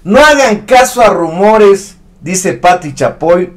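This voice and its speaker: A man's voice: high voice, expressive, slow. A man in his thirties hosting an online news commentary show, speaking with emphatic indignation. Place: studio set with a news backdrop.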